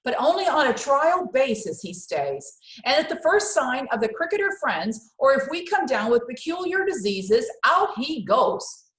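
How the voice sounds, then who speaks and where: A woman's voice: sits high, sing-song, a little slow, hardly any pauses, fairly bright tone, echoey room, pitched above the performer's own voice, heavily acted, low-quality recording. A woman voicing an exasperated, scornful mother in a children's storybook, scolding with emphatic delivery. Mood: grudging. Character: scornful, exasperated mother who detests bugs.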